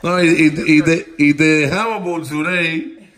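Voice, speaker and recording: A man's voice: fairly high pitch, fairly even intonation, unhurried, fairly bright tone. A man in casual, teasing banter as a prankster, recorded indoors. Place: house, indoors. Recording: clearly noisy room recording.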